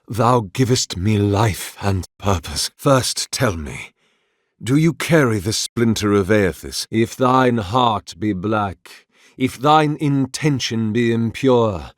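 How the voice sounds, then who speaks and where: A man acting a video game role as a weary, courteous, devout scholar-priest of a sun god, speaking in measured, formal, reverent phrases. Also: lowish voice, no room sound, studio quality. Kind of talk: video game character dialogue, formal and archaic.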